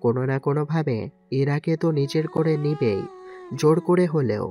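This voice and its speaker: A man's voice: fairly high pitch, normal speed, warm tone, natural voice. A man playing a resolute, protective young navy commander in an audio drama. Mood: serious. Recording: background music under the voice.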